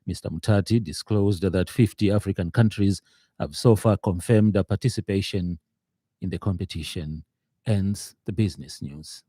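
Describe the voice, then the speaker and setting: Mellow low-voiced Zambian male newsreader. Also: normal speed. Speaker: radio news anchor. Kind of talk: scripted news bulletin reading. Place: radio studio.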